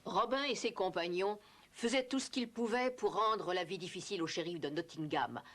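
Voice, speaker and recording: Casting: children's story narrator, medium pitch, scripted storytelling delivery. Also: normal speed, a bit bright.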